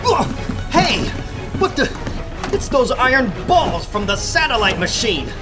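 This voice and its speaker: Guy doing a young comic book hero, wry n earnest, takes his time, pitch jumps around, music behind. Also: sing-song, measured pace, exaggerated voice. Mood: alarmed. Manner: earnest, wry, melodramatic self-talk. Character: young male superhero, wisecracking, earnest, easily worried. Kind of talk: dramatic comic book reading.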